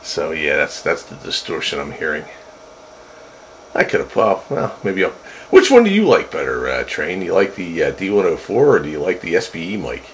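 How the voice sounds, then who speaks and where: A man's voice: low-pitched, big pitch swings, moderate pace, rough recording. A man chatting casually over two-way radio into a desk microphone, with a wry, joking manner. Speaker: amateur radio operator. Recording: two-way radio with faint static.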